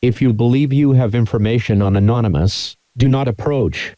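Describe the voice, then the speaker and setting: A man reading scripted formal emergency broadcast announcements in a flat, impersonal, measured way. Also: medium pitch, no room sound.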